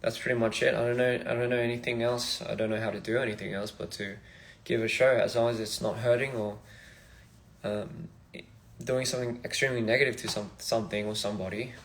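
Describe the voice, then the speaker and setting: A young man from Australia, a musician chatting casually on a phone livestream in a halting, rambling, soft-spoken way. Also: mid-range voice, level tone, rough recording. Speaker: musician streaming live. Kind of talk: casual live-stream chat.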